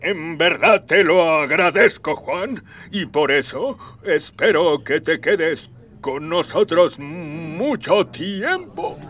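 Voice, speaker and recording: Give the radio play its pompous emperor: a highish, theatrical voice that stretches words slowly and grandly. He sounds like an aggrieved father and ruler, a little off the mic over soft music. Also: lively intonation, recorded from a distance, background noise. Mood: grandiose, grateful. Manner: theatrical, drawn-out, grandiose speech. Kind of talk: scripted radio drama acting.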